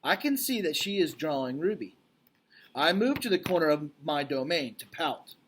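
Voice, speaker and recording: A man's voice: on the high side, big pitch swings, moderate pace, fairly bright tone, slight background noise. A man reading a children's storybook aloud as narrator. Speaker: read-aloud reader.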